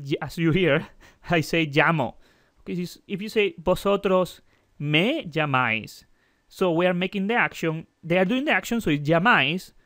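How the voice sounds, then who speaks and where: A man from Spain with a Spanish accent gives a casual video lesson while fighting off an illness. His voice sits a little high for a man. He explains in halting English and keeps stopping to correct himself. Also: a bit bright, dry recording, clean studio sound. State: sick, with a cough. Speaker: Spanish teacher.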